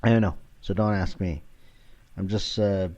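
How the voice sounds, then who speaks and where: A man's voice: deep voice, fairly even intonation, moderate pace, dark tone, lo-fi sound. A man around forty rambling casually as a solo podcast host, irreverent, sweary and self-deprecating, recorded at home in his kitchen.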